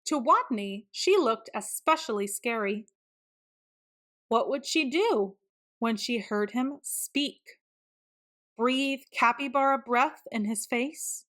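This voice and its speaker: A woman reading a children's storybook aloud in an expressive, deliberate way with dramatic emphasis. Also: on the high side. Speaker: children's book reader.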